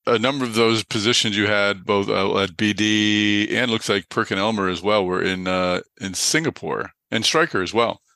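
A man's voice: on the low side, normal speed, hardly any pauses, pushed and bright, no echo. A man hosting a podcast who asks long, friendly interview questions.

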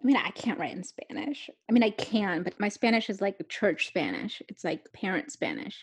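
A woman's voice: medium pitch, expressive, normal speed, husky. A young adult woman author talking candidly and rambling, with lots of filler words and laughing asides. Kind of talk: candid conversational talk.

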